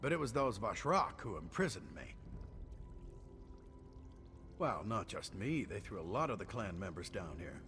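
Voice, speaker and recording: An actor playing a chatty, sardonic prison engineer in a video game. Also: male voice, fairly low pitch, sing-song, unhurried, pauses often, fairly bright tone. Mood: sardonic, explaining. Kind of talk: video game character acting. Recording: faint game music under the voice.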